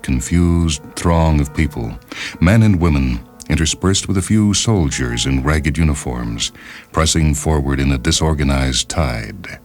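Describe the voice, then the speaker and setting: A man reading a literary short story as a radio host, in a measured, deliberate and formal manner, on a 1970s radio recording. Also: rumbling low, a little mellow. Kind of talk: scripted literary narration. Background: music bed behind the reading.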